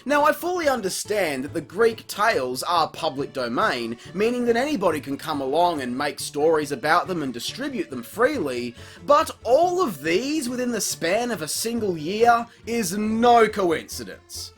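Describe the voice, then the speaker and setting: A man's voice: sits high, very animated, moderate pace, hardly any pauses, fairly bright tone. A man delivering scripted comedic review commentary in a sarcastic, exasperated, sweary manner. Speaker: video reviewer, host. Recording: music playing behind the voice. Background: background music.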